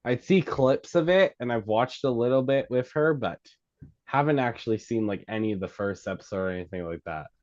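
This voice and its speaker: A man's voice: mid-range voice, expressive, fairly quick, a little mellow, no echo. Young adult man, casual chat, video call, muffled lo-fi audio, dry sound. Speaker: amateur table-read participant.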